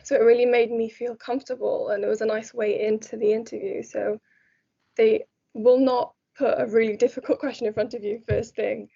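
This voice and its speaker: A young woman talking calmly and reassuringly in a casual group chat. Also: a little high, brisk, creaky, husky. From South Wales. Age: young adult. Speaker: student guest. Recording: dull lo-fi audio.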